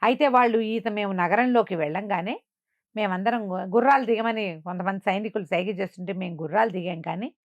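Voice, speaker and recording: A woman's voice: medium pitch, fairly quick, dry recording, highs cut off. A woman telling a tale in a chatty, explanatory, conversational way, checking in with her listener. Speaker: storyteller. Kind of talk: conversational oral storytelling.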